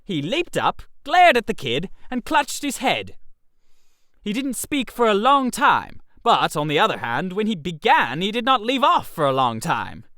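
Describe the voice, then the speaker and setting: A theatrical storyteller reads scripted comic narration. The delivery is wry and hugely animated in pitch, with a mid-pitched voice and a very dry recording. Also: unhurried, no room sound.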